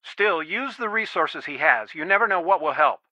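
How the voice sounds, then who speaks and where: A man's voice: a little high, animated, moderate pace, bright, forceful tone, no echo. An actor playing a worried, protective middle-aged father in a video game, earnest and pleading, heard through a telephone filter. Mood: guarded, explaining. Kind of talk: naturalistic video game dialogue, one side of a phone call. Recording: dry, band-limited phone-like sound.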